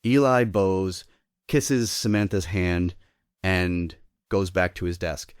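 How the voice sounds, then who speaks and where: A man's voice: sits low, fairly even intonation, slow, clean tone, no room sound, clean studio sound. A man narrating stage directions in a scripted table read.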